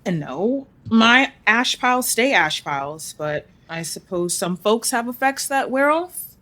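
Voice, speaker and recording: An actress as a brash, generous woman in an audio drama. Her voice sits fairly high and swings around with lots of expression. She talks bluntly and informally, with a wry edge. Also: very animated, unhurried, sharp tone, exaggerated voice. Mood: wry, hard. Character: brash, generous woman who can turn threats to ash.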